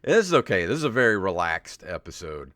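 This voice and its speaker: A man's voice: low voice, very animated, a bit bright, no room sound, studio quality. A tired-sounding man hosting a podcast, chatting casually and comedically, sometimes reading out theatrically. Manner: theatrical, drawn-out dramatic reading of stories. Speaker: podcast host. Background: background music.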